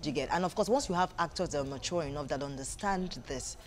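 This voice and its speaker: A woman's voice: low-pitched, fast talker. A woman with a Nigerian accent speaking as a guest in a conversational interview, earnest and repeating phrases for emphasis. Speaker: interview guest, stage play director.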